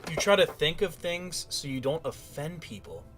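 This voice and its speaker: A man's voice: on the high side, moderate pace, a bit bright, faint music behind. A man giving casual, mocking commentary in a sarcastic and profane manner. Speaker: commentator reacting to a played recording.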